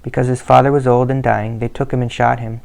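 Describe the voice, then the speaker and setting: Flat-voiced gravelly male narrator. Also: medium pitch, fairly quick. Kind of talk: scripted narration of a family history.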